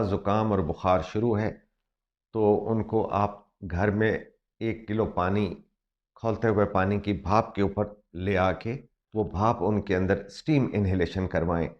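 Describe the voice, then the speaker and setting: A man talks as a doctor giving health advice, his voice sitting low and his throat slightly sore. He explains things earnestly and switches into English to stress a point. The sound is muffled and lo-fi. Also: measured pace, darkish tone. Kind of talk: health advice talk to camera. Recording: muffled lo-fi recording.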